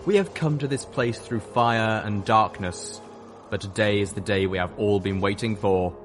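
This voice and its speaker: A man voicing a zealous female pony cult preacher, declaiming a sermon with some raised pitch and loud, ringing delivery over background music. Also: normal speed, natural voice. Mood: fervent.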